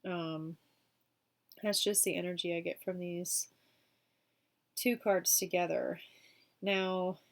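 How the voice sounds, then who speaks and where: A woman's voice: on the low side, steady tone, slow pace, gravelly, pauses often. A woman with a cold doing a casual weekly tarot reading, chatty and full of fillers. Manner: chatty, many fillers like um and you know. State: sick, sniffling. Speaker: tarot reader.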